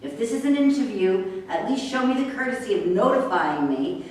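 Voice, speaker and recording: A woman in a staged play reading, acting a bitter, sharp-tongued racehorse trainer who is hurt, sarcastic and confrontational. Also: a little high, expressive, moderate pace, lightly acted. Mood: guarded, sarcastic. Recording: very echoey room, distant mic, faint noise.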